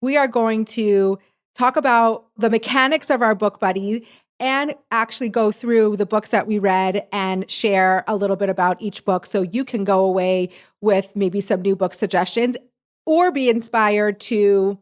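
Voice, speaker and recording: A woman's voice: medium pitch, unhurried. Chatty enthusiastic woman podcast host. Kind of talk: casual conversation.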